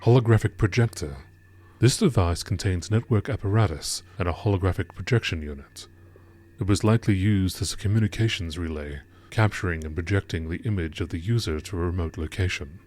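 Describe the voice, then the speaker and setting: A man reading scripted science-fiction lore entries as a narrator, in a measured, formal nature documentary style. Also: deep voice, darkish tone, a little hiss or hum.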